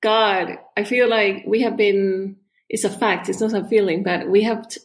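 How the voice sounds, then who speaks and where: A woman from Chile, a guest on a podcast, talking in an animated, casual way with restarts and frequent laughs. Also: mid-range voice, moderate pace. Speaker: guest artist. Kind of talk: casual interview chat.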